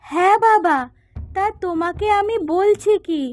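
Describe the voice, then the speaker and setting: A woman's voice: very high-pitched, measured pace. A woman voicing a caring, anxious young village daughter of a fisherman in a cartoon moral story, earnest in manner. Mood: urging, eager. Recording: music behind the voice.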